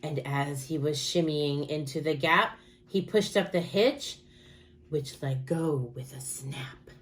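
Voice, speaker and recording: A woman with a slightly low voice reads a picture book aloud for young children. She tells it like a teacher, keeping a steady rhythm and putting dramatic emphasis on key words. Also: takes it slowly, a little hiss or hum.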